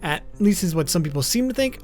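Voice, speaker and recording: A man with a fairly high voice narrates a scripted video essay in a casual, informal way. His intonation swings in a lively way and he slips in wry asides. Music plays underneath. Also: fast talker. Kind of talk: informal scripted commentary on a list of topics. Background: background music.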